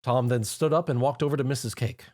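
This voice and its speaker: A man's voice: mid-range voice, steady tone, fast talker, darkish tone. A man reading fan fiction aloud as narrator, telling the story expressively.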